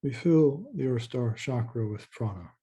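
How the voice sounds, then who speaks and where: A man leading a guided meditation in a soft, slow, calming way, with long pauses and repeated words. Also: medium pitch, husky.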